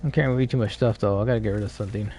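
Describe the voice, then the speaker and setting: A man livestreaming a video game in a casual, low-key way, muttering to himself and reading text aloud. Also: fairly even intonation, racing, warm tone. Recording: dull, slightly noisy stream audio.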